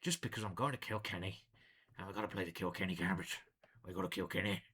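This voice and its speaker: A man's voice: deep voice, quick pace, a bit bright, slightly rough. A man, a chess grandmaster, casually commentating his own online games in a playful, chatty way. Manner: playful and chatty, hums and sings little jingles.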